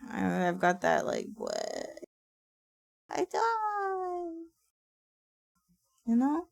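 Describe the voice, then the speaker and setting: A sleepy woman talks slowly and casually into a close mic. Her voice has a gravelly, raspy edge, and she swears and laughs easily. The room sounds dry and close. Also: sits high, huge pitch swings, no room sound. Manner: chatty, swears and laughs often. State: tired. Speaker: streamer. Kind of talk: casual livestream chat.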